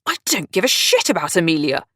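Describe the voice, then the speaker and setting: A woman's voice: on the low side, animated, moderate pace, no room sound, clean studio sound, close to an everyday voice. Woman doing a volatile, self-pitying man. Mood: angry ranting. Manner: ranting, raised and strained.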